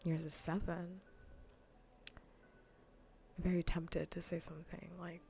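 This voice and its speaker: A woman streamer chatting playfully and casually in a soft, breathy, often near-whispered ASMR style, close to the microphone. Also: on the low side, level tone, measured pace, soft background music. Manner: playful, rambling, half-whispered casual talk. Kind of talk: soft-spoken ASMR gaming livestream chat.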